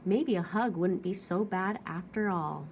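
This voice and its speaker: A woman reading a children's storybook aloud as an expressive narrator. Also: lowish voice, unhurried, lo-fi sound, a little hiss or hum.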